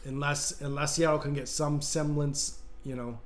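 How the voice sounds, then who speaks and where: A man's voice: fairly high pitch, moderate pace. A man hosting a sports betting show, talking through matchups in a casual way, with lots of "uh" fillers, while fighting a cold and losing his voice. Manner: even-toned, frequent "uh" fillers.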